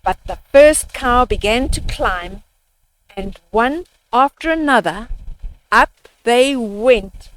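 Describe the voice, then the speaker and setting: Slow, expressive older woman reading a storybook. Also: on the high side, poor audio. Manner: expressive, addresses young listeners with questions. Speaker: children's storybook reader. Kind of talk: read-aloud storytime with explanatory asides.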